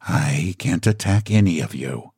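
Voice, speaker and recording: A man's voice: sits low, expressive, unhurried, creaky, darkish tone, breathy edge, no room sound, clean studio sound, heavily acted. Man putting on a vain, toothless storybook leopard. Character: vain, toothless leopard who boasts of being frightful.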